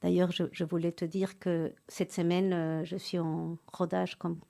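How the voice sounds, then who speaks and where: A middle-aged woman from Argentina, a Spanish native speaker, recounting her career in a relaxed interview with frequent restarts and fillers. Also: fairly low pitch, unhurried, gravelly. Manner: hesitant, many restarts and fillers. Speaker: pianist and actress, interview guest.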